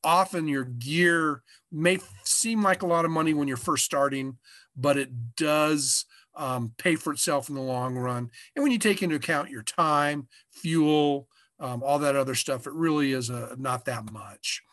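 A man in his sixties with an American accent, giving an informal lesson as an instructor, halting and often repeating his words. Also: on the high side, fairly bright tone, no echo, studio quality. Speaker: fishing instructor. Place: small indoor room, curtain backdrop. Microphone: webcam video call.

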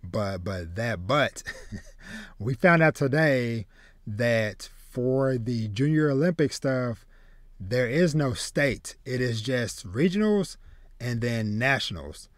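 A man in his thirties hosts a casual podcast in a halting way, doubling back on his words. The sound is close and dry, with no room on it. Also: mid-range voice, animated, no room sound. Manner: halting, trailing, repeats words. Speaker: podcast host. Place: small home room with shelves, video call. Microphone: close desk mic.

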